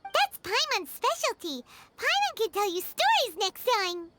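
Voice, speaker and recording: A woman voicing an excitable, childlike fairy companion in an anime game, cheerful and exclamatory. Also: very high voice, a little slow, very noisy, full character voice. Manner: refers to self in third person, exclamatory. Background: background music.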